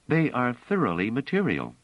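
A calm, assured young woman, as a male narrator voices her, sure of every word. Her lines sit noticeably higher than the narrator's deep voice and stay lively in pitch. The sound is dry and close. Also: moderate pace, no echo, lo-fi sound.

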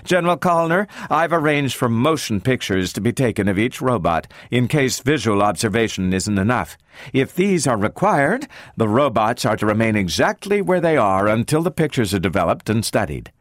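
A man's voice: mid-range voice, very animated, normal speed, no room sound, clean studio sound, lightly acted. A man voicing a commanding, exacting woman scientist in an audiobook, with clipped, precise delivery. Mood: cold, commanding. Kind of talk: dramatic audiobook character reading.

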